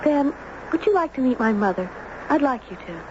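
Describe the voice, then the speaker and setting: A woman's voice: sits high, lively intonation, brisk. An actress playing a sweet, strange and eager young island girl in a radio drama. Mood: inviting. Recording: muffled, very noisy radio broadcast recording.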